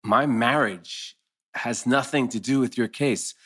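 A man's voice: mid-range voice, unhurried, fairly bright tone, husky. A man acting a concerned, insistent married lawyer at a teen drama table read. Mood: concerned, persuasive.

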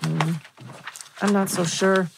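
A woman's voice: lowish voice, steady tone, slow, gravelly, noisy background. A woman reading a troubled, wry middle-aged wife venting to a friend at a TV drama table read.

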